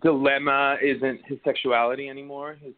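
A man's voice: medium pitch, flat delivery, moderate pace. A man in his thirties from Venezuela, with a Venezuelan Spanish accent, answering interview questions in a hesitant way with many fillers and restarts. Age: 30s. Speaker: actor guest. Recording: tinny phone line.

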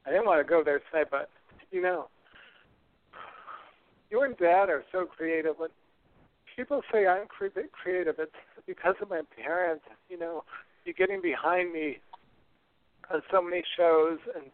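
A man's voice: on the high side, normal speed, long pauses. A man hosting a podcast in a personal, conversational style. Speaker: podcast host. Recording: thin phone-like sound.

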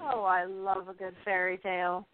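A woman's voice: mid-range voice, moderate pace, slight background noise, natural voice. A woman playing a feisty, sarcastic but fond young actress in a radio play, with a sassy delivery. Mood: fond, teasing. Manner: sassy, expressive. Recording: tinny, band-limited phone-like sound.

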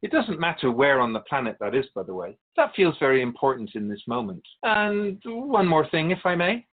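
A man playing a wise, gentle, cryptic otherworldly keeper of souls in a radio drama, speaking in a measured, courteous, philosophical way. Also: a little high, very animated, phone-quality sound. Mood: earnest.

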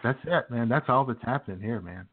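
A man's voice: medium pitch, lively intonation, a little fast. A man co-hosting a comedy podcast in casual, joking banter with a laid-back, drawling manner. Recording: thin phone-like band-limited audio.

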